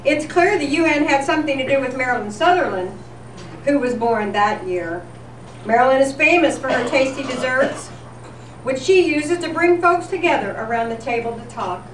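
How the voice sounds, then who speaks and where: A woman's voice: sits high, animated, normal speed, crowd noise. A woman hosting a live show, reading scripted announcements in the style of an old-time radio newscast. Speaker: host of a live radio-themed tribute show. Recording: distant echoey live event, noisy.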